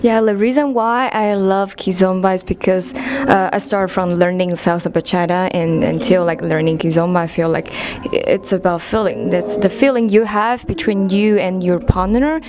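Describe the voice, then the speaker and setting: A woman's voice: mid-range voice, moderate pace, hardly any pauses. A woman from Taiwan, a guest dancer, speaking hesitantly and searching for words. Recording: loud music behind the voice.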